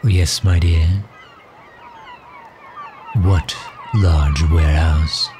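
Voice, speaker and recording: Casting: suave, protective male villain for ASMR roleplay played by a man, very low voice, courtly and gentle, outdoor bird ambience behind. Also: background noise, full character voice. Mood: proud, explanatory. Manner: gentle, courtly, measured and formal. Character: suave, protective male villain doting on his captive guest.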